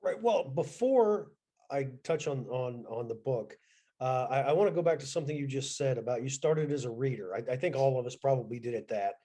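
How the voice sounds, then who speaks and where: A man hosting an author interview over a video call, speaking in a halting way with many restarts. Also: mid-range voice, dark tone. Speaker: interview host. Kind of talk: conversational interview.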